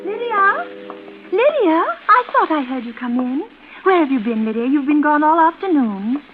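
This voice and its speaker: Casting: girlish, fragile sister near forty, very high timid voice, vintage radio suspense, muffled noisy recording. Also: very animated, moderate pace, faint music behind. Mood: eager, plaintive. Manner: timid, rambling, apologetic, girlish. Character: fragile, childlike woman nearing forty, timid and wistful. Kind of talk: 1940s radio suspense acting.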